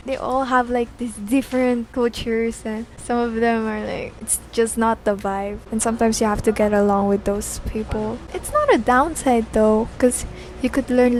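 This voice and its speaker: A young woman from the Philippines, a podcast guest chatting casually in an animated, giggly way with some slang. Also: fairly high pitch, a little hiss or hum, rough recording. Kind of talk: casual chat.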